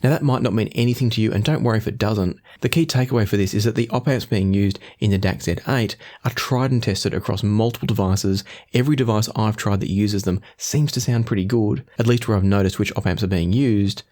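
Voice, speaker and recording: A man's voice: lowish voice, brisk, hardly any pauses, darkish tone. A man hosting an audio gear review, explaining products in a methodical way.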